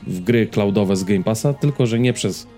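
A man's voice: fairly low pitch, normal speed, mellow, faint music behind. A man co-hosting a podcast, chatting casually about tech and games. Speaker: podcast co-host. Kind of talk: casual tech and gaming chat.

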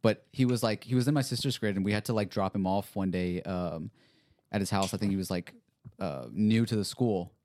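A man with a fairly low voice chats casually as a podcast co-host, often breaking into laughter while telling stories. The sound is dry and close to the mic. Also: a little fast, darkish tone, no echo. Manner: laughs often, tells anecdotes.

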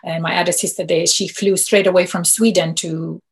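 A woman from Romania, a native Romanian speaker, telling a personal story in an interview, halting and restarting often. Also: fairly low pitch, flat delivery, breathy edge. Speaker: guest.

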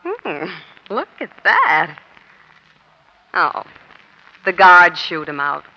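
A woman's voice: on the low side, very animated, very slow, bright, forceful tone, full character voice. A woman playing a savvy, chatty American newspaper reporter who feigns casual innocence in a 1940s radio crime drama. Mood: slyly chatty. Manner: breezy, chatty, slyly casual. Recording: dull, lo-fi old radio recording.

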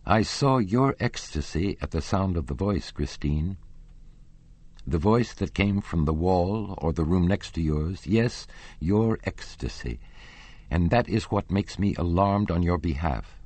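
Storybook young aristocrat, played by a male narrator: lovesick, impassioned, formal, with a husky edge. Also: deep voice, darkish tone, no room sound, voice pushed up. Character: anguished, pleading young male lovesick nobleman.

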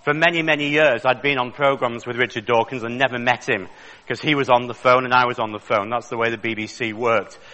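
Man, fifties, chatty lecturer, crisp bright voice, long hall reverb. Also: medium pitch, fairly even intonation, brisk. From England. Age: 50s. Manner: chatty and joking with the audience. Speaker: creationist speaker. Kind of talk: public lecture.

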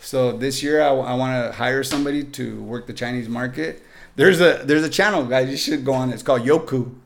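A man's voice: mid-range voice, fairly quick, vocal fry. A man with a Mexican accent, a podcast guest giving upbeat, joking tips straight to the listeners. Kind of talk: casual podcast chat.

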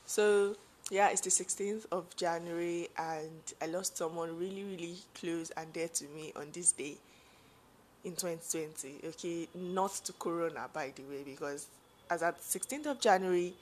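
A young woman with a Nigerian accent and a deep, low-pitched voice talks straight to her viewers. She tells a personal story in a relaxed, rambling way, with lots of fillers and side remarks. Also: moderate pace, low-quality recording. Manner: chatty, full of fillers and asides. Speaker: vlogger.

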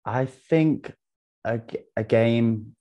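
A man's voice: mid-range voice, takes it slowly, warm tone, muffled recording. A young man from North Wales, a podcast guest, talking casually and laughing at himself. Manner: laid-back, self-deprecating, laughs often. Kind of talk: casual chat.

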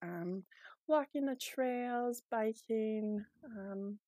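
A woman's voice: on the high side, extremely slow, dark tone, no room sound. A young woman from Manitoba with a Canadian accent, chatting casually as a podcast guest, with frequent ums and light laughs. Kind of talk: casual interview chat.

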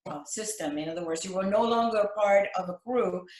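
An older woman from Cuba recounting her life as an interview guest, speaking in measured, halting phrases. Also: medium pitch, a little mellow. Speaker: interview guest, retired flight attendant. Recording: echoey, roomy sound.

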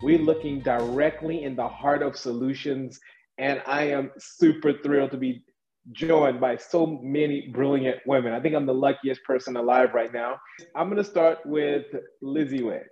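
A man's voice: medium pitch, steady tone, moderate pace, darkish tone, faint music behind. A man hosting a panel discussion, upbeat and joking as he moderates over a video call. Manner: playful, joking, enthusiastic. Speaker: panel host. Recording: echoey, dull room sound.

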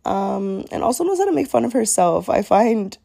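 A young woman hosting her own podcast in a sincere, chatty solo talk, her voice a little raspy today, with frequent "um"s. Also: medium pitch, big pitch swings, moderate pace, dark tone. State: slightly raspy voice. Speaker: podcast host.

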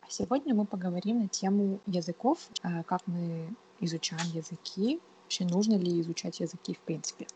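A woman's voice: lowish voice, fairly quick, hardly any pauses, dark tone, a little hiss or hum, low-quality recording. A woman from Ukraine hosting a casual, conversational podcast. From Kyiv, Ukraine. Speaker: podcast co-host.

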